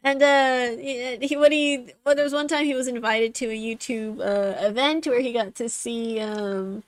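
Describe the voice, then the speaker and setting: A woman's voice: fairly high pitch, steady tone, moderate pace, smooth. A woman telling a story animatedly in casual chat, giggling between lines. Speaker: stream co-host.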